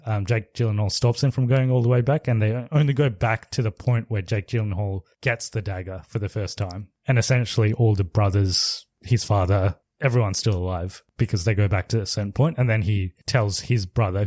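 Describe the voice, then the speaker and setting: Male podcast co-host, slightly low voice, loose rambling delivery, dry close mic. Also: a little mellow, no echo. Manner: rambling, laid-back, lots of "like". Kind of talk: casual movie review chat.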